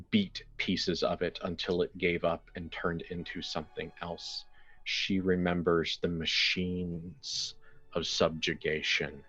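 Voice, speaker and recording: A man narrating a horror tabletop game as game master, in a deliberate, dramatic storytelling manner with weighty pauses. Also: low-pitched, fairly bright tone, slightly rough. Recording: slightly dull audio with music behind. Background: music.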